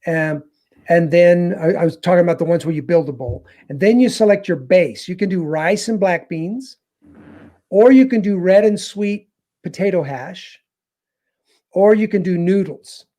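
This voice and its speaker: A man with a fairly high but mellow voice walks his listeners through a menu step by step. He is eager and often restarts his sentences. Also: normal speed. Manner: enthusiastic, explanatory, restarts often. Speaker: travel advisor. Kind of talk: casual panel chat, explaining.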